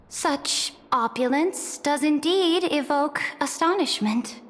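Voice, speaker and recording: A woman's voice: high voice, expressive, drawn-out words, heavily acted. A woman playing a proud, cold, ruthless female emperor in a video game, speaking in formal, stately declamation. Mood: haughty curiosity. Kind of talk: dramatic video game voice acting. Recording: background music under the voice.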